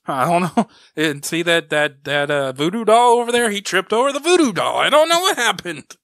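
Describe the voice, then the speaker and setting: A man's voice: high voice, very animated, normal speed, a bit bright, no echo, clean studio sound. A man co-hosting a podcast with casual, informal banter. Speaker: podcast co-host.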